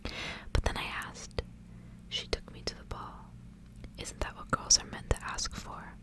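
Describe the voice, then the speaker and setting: Whispering woman reading a story, ASMR style. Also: unhurried. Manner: hushed, unvoiced whispering throughout. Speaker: ASMR reader.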